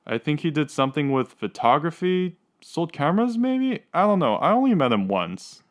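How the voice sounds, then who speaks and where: A man's voice: fairly high pitch, very animated, moderate pace. A man playing a dejected, lovesick suspect under questioning in a radio drama, plainspoken and unsure. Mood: hesitant.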